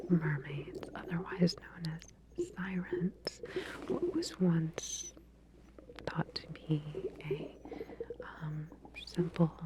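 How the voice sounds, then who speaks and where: A woman speaks in a breathy whisper, low and very slow. She plays a medical researcher in an ASMR roleplay, explaining things gently with frequent pauses. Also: rough recording.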